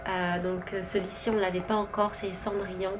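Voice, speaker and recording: A young woman with a Quebec French accent, chatting gently through a casual haul vlog at home, thinking aloud as she goes. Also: mid-range voice, level tone, moderate pace, background noise. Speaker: vlogger and stay-at-home mother. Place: at home with her baby asleep nearby.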